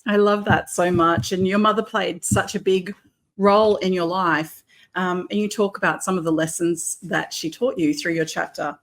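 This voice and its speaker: A woman's voice: on the low side, steady tone, moderate pace, rough recording. A woman interviewer asking encouraging questions about a guest's life.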